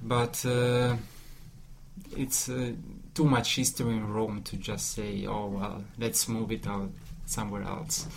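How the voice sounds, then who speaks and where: A man with an Italian accent in an informal discussion, speaking haltingly and repeating words as he searches for phrasing. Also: fairly low pitch, flat delivery. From Italy. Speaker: discussion participant. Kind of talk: informal discussion in a second language. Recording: clear background noise.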